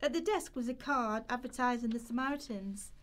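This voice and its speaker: Radio play: woman as anxious young woman on benefits, high voice, confessional, worn down. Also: animated, a little fast, close to an everyday voice. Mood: despairing, confiding. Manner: confessional first-person storytelling. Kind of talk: rehearsed radio play monologue.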